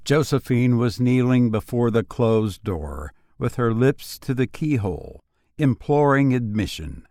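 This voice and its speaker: A man narrating a literary story from a script, with measured, dramatic phrasing. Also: medium pitch, sing-song, dark tone, no room sound, clean studio sound. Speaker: audiobook narrator.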